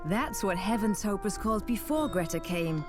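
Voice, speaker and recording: An actress voicing a calm, friendly witch who lives alone in the woods, in a game cutscene. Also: mid-range voice, moderate pace, music in the background, close to an everyday voice. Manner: calm, explaining. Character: young woman, a hermit witch, calm and friendly.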